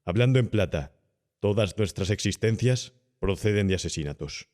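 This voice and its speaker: Man playing a deep-voiced, cynical young doctor. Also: moderate pace, lightly acted. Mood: calm cynical persuasion. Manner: smooth, insolent, calmly persuasive. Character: cynical, cool, worldly young male doctor. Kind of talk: audiobook character acting.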